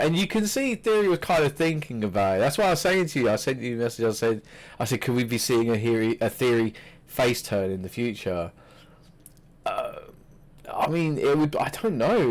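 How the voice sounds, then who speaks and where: A young man co-hosting a podcast, chatting about wrestling in a halting way with many restarts. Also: mid-range voice, sing-song. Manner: hesitant, many restarts and fillers. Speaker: podcast co-host. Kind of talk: casual wrestling chat.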